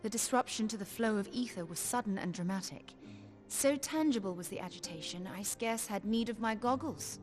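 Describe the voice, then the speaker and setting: Game researcher, played by a woman: mid-pitched, cool, scholarly, precise, prominent music behind. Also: normal speed, close to an everyday voice. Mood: matter-of-fact. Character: cool, learned female researcher of magical energies. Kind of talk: theatrical fantasy game dialogue. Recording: loud music under the voice.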